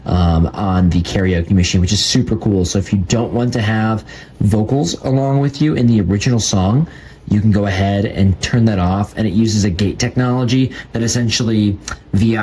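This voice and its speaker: A man's voice: lowish voice, darkish tone, slight background noise. A man giving a casual, approving product demo in an informal, step-by-step way. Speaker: product reviewer.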